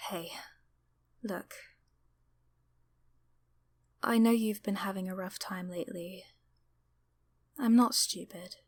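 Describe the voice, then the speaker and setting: A woman playing a gentle, apologetic sleep paralysis demon in an ASMR roleplay, soft-spoken and often whispering reassurance. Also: mid-range voice, steady tone, slow pace, long pauses, no echo. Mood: gentle, reassuring. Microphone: close microphone.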